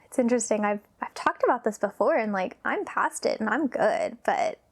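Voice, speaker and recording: Nervous, halting woman, slightly high voice. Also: animated. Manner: halting, many pauses and sighs, sometimes drops to a whisper. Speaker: vlogger. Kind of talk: personal confessional talk.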